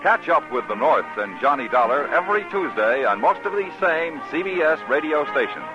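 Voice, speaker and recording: A man's voice: on the high side, very animated, moderate pace. An American man reading scripted announcements in a polished, emphatic 1950s radio style. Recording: muffled old radio recording with music.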